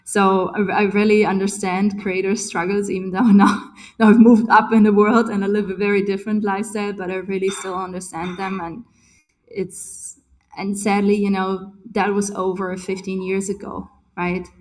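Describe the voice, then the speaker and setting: A woman's voice: medium pitch, little pitch movement, moderate pace, a little mellow. Passionate woman in her forties ranting. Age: 40s. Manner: passionate, emphatic, ranting with fillers like "right?". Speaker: guest speaker on a community call. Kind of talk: casual chat. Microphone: video call.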